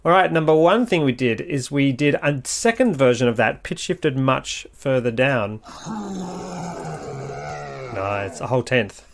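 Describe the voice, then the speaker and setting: A man with a mid-pitched voice talks through a sound design demonstration in a casual, explanatory way. He thinks aloud as he works through each step, with some faint noise behind him. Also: expressive, a little slow. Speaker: sound designer giving a tutorial. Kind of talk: casual software walkthrough.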